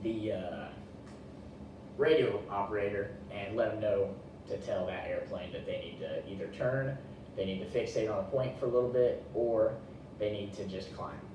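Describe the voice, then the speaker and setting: A man's voice: on the low side, moderate pace. A young man giving an informal class presentation in a folksy, colloquial way. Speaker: student presenter. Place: echoey indoor room, presenting to a class. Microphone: distant room microphone. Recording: very echoey, distant mic, noisy room.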